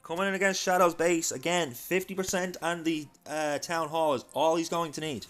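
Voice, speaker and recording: Irish man commentating games, higher-pitched voice. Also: fairly even intonation, moderate pace, a bit bright, faint music behind. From Ireland. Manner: chatty, addresses viewers. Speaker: livestream host and esports caster. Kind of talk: live game commentary.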